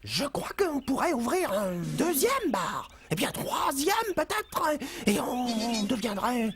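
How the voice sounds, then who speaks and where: Excitable high-pitched cartoon kid. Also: female voice, takes it slowly. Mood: scheming. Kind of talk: dubbed children's cartoon acting. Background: music behind the voice.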